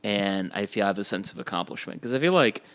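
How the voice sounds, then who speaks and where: Male band musician, low creaky voice, earnest, casual podcast talk with some swearing. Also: lively intonation, fast, fairly bright tone.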